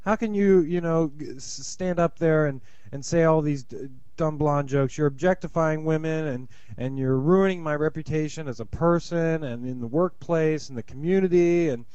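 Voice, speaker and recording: A man's voice: a little high, moderate pace, hardly any pauses, darkish tone, clear, resonant tone, dry recording, lightly acted. A man voicing an indignant blonde woman who heckles a comic in a club, stammering through her protest. The sound is slightly dull and lo-fi.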